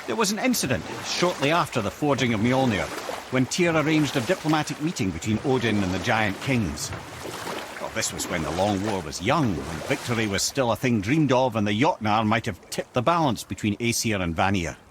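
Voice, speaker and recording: An actor playing a genial, learned old sage in a video game, telling tales eloquently with a Scottish accent. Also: male voice, lowish voice, fairly quick, noisy background. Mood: solemn storytelling. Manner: eloquent, expressive storyteller. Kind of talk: dramatic video game storytelling.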